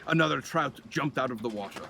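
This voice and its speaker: A man narrating a children's story as an expressive storyteller. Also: mid-range voice, fast talker, creaky, slight background noise.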